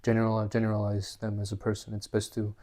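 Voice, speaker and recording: Give me a young male interviewer, mellow-voiced and relaxed. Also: on the low side, quick pace. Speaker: interviewer, host. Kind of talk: casual interview questions.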